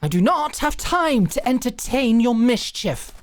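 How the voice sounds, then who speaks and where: A woman's voice: fairly high pitch, very animated, measured pace. A woman playing a proud, short-tempered knight in a fantasy audio drama, with a formal, clipped and tightly controlled manner. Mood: irritated, threatening.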